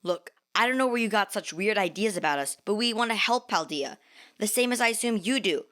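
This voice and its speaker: A woman's voice: medium pitch, lively intonation, brisk, fairly bright tone, lightly acted. A woman voicing a snappy teenage gang boy, sarcastic and argumentative, in a dramatised fanfiction reading. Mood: defensive.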